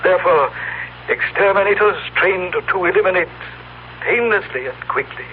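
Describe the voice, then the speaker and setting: An actor playing a cold, proud exterminator from the future, speaking in stilted, formal, precise phrases in a radio drama. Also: male voice, high voice, normal speed, natural voice. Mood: coldly proud. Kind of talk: 1970s radio drama acting. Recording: noisy, tinny old radio broadcast.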